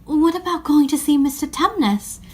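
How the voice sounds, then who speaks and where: A woman voicing a friendly, eager youngest schoolgirl in a children's story, speaking gently. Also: high-pitched, normal speed, pitched above the performer's own voice, lightly acted.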